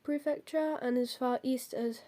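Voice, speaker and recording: A woman's voice: high voice, fairly even intonation, a little slow, warm tone. A young woman with a cold and a stuffy nose, hosting and reading out folklore notes, hesitant with many ums and apologies. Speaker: host.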